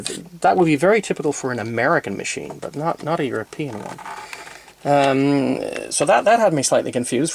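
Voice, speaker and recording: A man presenting an unscripted technical demonstration in a methodical, hesitant way, often pausing and correcting himself as he explains. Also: medium pitch. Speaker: presenter demonstrating vintage tape recorders.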